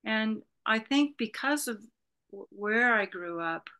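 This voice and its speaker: A woman's voice: fairly high pitch, expressive, slow, bright, forceful tone. A woman in her sixties from California, a Buddhist retreat leader talking reflectively as an interview guest, rambling with many "you know"s. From Berkeley, California, USA. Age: 60s. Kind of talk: reflective casual conversation.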